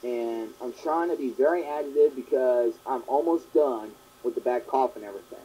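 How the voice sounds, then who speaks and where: A young man hosting his own fan question show, talking in a rambling, earnest way that repeats itself, his voice hoarse and partly gone from a long cough. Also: medium pitch, normal speed, dark tone. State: sore throat, partly lost voice from a long cough. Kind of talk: casual monologue answering fan questions. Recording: dull lo-fi home recording.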